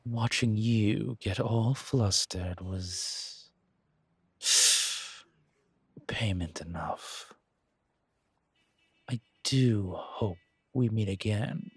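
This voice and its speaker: A man voicing a smooth, seductive gentleman stranger in a romantic audio drama, with a breathy, drawling, flirtatious and formal way of talking. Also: on the low side, drawn-out words, pauses often, dry recording, exaggerated voice. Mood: teasing, flirtatious. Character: smooth, seductive, well-dressed male stranger, courtly and teasing.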